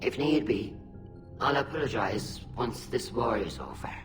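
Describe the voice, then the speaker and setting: A dutiful, unapologetic alien fleet admiral from a video game voiced by a man. He talks in a firm, formal military way at a middling pitch. Clear music runs behind him. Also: lively intonation, a little slow, close to an everyday voice. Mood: firm, resolute.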